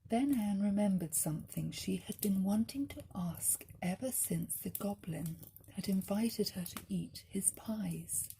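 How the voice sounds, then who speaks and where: A woman reading a children's story as narrator, in a gentle, deliberate storytelling manner. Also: medium pitch, a little hiss or hum, poor audio. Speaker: story reader.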